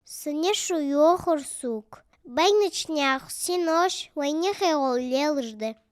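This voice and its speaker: A very high-pitched little child from a family cartoon. The words come slowly, with a gentle, playful and childlike manner suited to animated dialogue. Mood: curious, calm.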